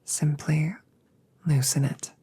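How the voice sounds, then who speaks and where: Woman acting a breathy, low, whispering android soldier. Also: steady tone, mellow, full character voice. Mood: calm, reassuring. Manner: slow, careful, soothing, formal phrasing. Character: gentle damaged female android soldier, dutiful and protective. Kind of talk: scripted ASMR audio roleplay.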